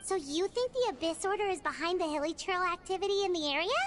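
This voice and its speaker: A woman voicing a small, childlike fairy companion in a video game, squeaky, curious and excitable. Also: fast talker, faint music behind. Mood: concerned. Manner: excitable, emphatic.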